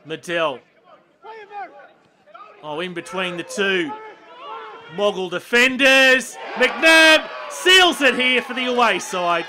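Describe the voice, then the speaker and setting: Bright, high-voiced Australian football commentator. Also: male voice, slow, long pauses, a faint crowd, slight background noise. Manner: excitable bursts at chances and goals. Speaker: match commentator. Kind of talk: football commentary over highlights.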